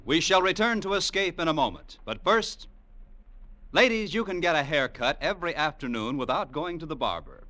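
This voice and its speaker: A man's voice: fairly high pitch, lively intonation, moderate pace, background noise. A man with an American accent announcing in 1940s radio style, with a polished, punchy salesman's delivery.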